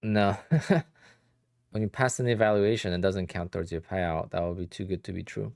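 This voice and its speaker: A man in his thirties with a Polish accent talks casually to a live-stream audience, tired and a little sleepy. His voice sits low with a gravelly edge. The sound is close and dry, with mouse clicks now and then. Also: normal speed, darkish tone, no echo. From Poland. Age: 30s. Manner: chatty, answers viewer questions with many "like"s and "you know"s. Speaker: day trader streaming live.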